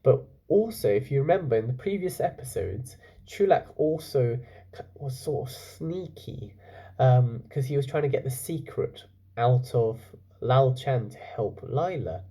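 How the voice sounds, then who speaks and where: Mellow, husky man reading a story expressively. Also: medium pitch, animated, unhurried. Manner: explanatory, questioning. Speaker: teacher reading a children's book aloud. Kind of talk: storytelling with explanatory asides to children.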